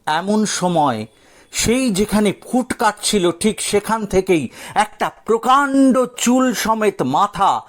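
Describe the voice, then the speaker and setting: Slow, very expressive male storyteller, high-pitched. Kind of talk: dramatic scripted audio story narration.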